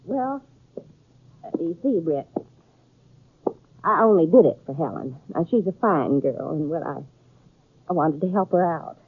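A meddling older frontier aunt, sheepish and flustered, with a rural western American accent. Her husky voice moves up and down a lot on a tinny 1950s radio drama recording. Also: low voice, expressive, slow pace, pauses often, full character voice. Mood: sheepish, pleading. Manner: flustered, faltering.

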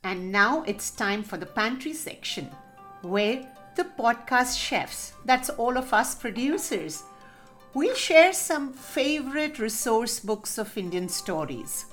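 A woman's voice: a little high, sing-song, slow, fairly bright tone, soft background music. A woman producer hosting a podcast segment, introducing guests in a friendly way.

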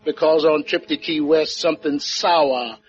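A man playing a genial, effusive Caribbean calypso man in an old radio play. His voice is fairly high and very unhurried, with flowery, playful phrasing, on a muffled vintage recording. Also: fairly even intonation, faint music behind, natural voice. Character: genial Caribbean calypso singer and hotel helper. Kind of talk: 1950s radio drama acting.

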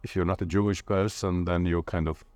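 A man's voice: sits low, steady tone, a little fast, darkish tone, no room sound. A man from Latvia with a Latvian accent, speaking conversationally as an interviewee and often searching for his words. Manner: hesitant, searching for words with repeated starts. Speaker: interviewee, literature lecturer.

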